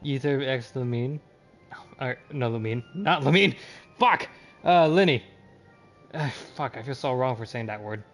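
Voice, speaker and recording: A man doing casual, profane live game commentary as a streamer, often sounding confused and reacting out loud. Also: medium pitch, animated, a little slow, pauses often, soft background music. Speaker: video game livestreamer.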